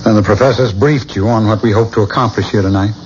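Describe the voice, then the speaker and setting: A slightly noisy, dull-sounding vintage radio drama features a man acting as a haunted husband. He is mid-pitched, terse and defiant, with a bitter, troubled edge. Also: fairly even intonation, quick pace, close to an everyday voice. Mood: troubled, guarded.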